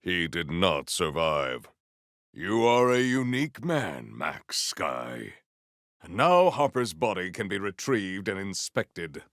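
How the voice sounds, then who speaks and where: An actor playing a proud, formal sci-fi warrior in a video game, speaking in a grandiose, deliberate and earnest way. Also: male voice, sits low, very animated, fairly bright tone, dry recording, studio quality, exaggerated voice. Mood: stern. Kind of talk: video game character voice lines.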